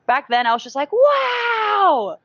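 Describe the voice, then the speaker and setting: A woman's voice: very high voice, sing-song, slow pace, fairly bright tone, dry recording, shouting. A woman co-hosting a casual chat show in an enthusiastic, giggly manner. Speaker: co-host. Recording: slightly dull, lo-fi voice capture.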